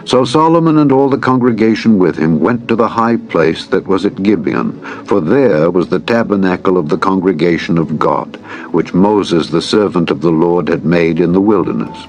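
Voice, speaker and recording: A man narrating scripture as an audiobook in a solemn, measured, formal and reverent way. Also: deep voice, animated. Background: background music.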